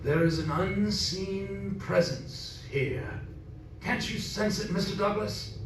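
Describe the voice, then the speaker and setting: A man's voice: high voice, slow, soft background music. A man playing a fearful, earnest old man who gives grave, formal warnings in a radio horror drama. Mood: grave, pleading. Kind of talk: 1930s-style radio horror acting. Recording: roomy distant recording, faint noise.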